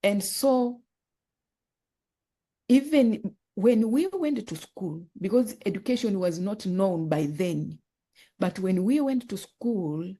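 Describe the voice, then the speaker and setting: A woman from Uganda, telling her life story as a podcast guest, earnest and halting, often repeating words. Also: mid-range voice, expressive, mellow. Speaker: guest, author and nonprofit founder.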